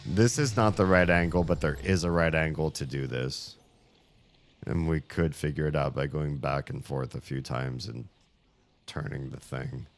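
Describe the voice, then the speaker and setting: A man streaming a puzzle game, grumbling sarcastically about the puzzles while his voice is going hoarse. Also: rumbling low, moderate pace, soft background music. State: losing his voice. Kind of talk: casual live-stream commentary.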